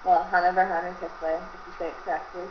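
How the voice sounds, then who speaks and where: A woman in her twenties, raised in America, answering interview questions in a relaxed, chatty way. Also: on the low side, fast talker. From United States. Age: 20s. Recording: echoey room, muffled, light noise.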